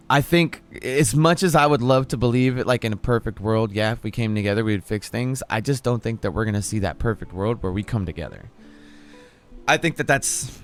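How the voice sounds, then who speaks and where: A young man with an ordinary mid-range pitch hosts an easygoing online talk show. His speech is loose and profane, full of fillers and restarts. Faint music plays underneath. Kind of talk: casual unscripted chat. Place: home room, self-described cave.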